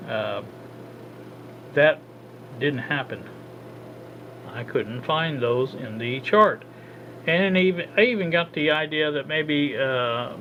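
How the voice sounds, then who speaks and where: A man of middle pitch talks his way through hands-on work at a home bench in a relaxed, unscripted way. He stops and restarts often, filling the gaps with "uh" while he figures things out. The recording sounds dull and lo-fi, with a clear layer of steady noise behind him. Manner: halting, thinks aloud with frequent uh. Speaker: hobbyist radio restorer. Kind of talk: casual hands-on demo narration.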